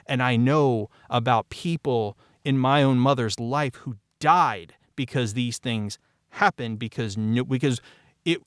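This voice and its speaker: A man's voice: medium pitch, no room sound, studio quality. A middle-aged man talking candidly in a cast discussion as the writer, emphatic and often circling back to repeat his phrases. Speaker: writer and creator of an audio drama.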